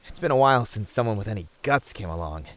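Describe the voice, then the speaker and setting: A man's voice: fairly low pitch, expressive, quick pace, a little hiss or hum, close to an everyday voice. A British man playing a cocky, boastful, lustful demon in a flirtatious audio drama, with a swaggering, teasing delivery.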